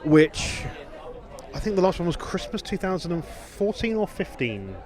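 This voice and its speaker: A man's voice: sits high, very animated, normal speed, darkish tone, a faint crowd. British man chatting on a podcast. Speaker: podcast host. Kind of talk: casual chat.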